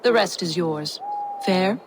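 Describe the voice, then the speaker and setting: An actress playing a cool, condescending giantess who bargains in a fantasy video game. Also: female voice, on the low side, lively intonation, takes it slowly, background noise. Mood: cold. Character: cold, scheming giantess offering a deal. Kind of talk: video game cutscene acting.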